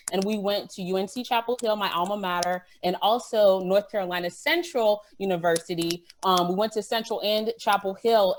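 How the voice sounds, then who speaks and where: An enthusiastic woman with a mid-range voice talks as a podcast guest on a panel. She is emphatic and engaged, punctuating her points with short checks. There is noise and clicking on the recording. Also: medium pitch. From West African immigrant family. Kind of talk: lively panel talk about events. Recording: clear noise with clicking sounds.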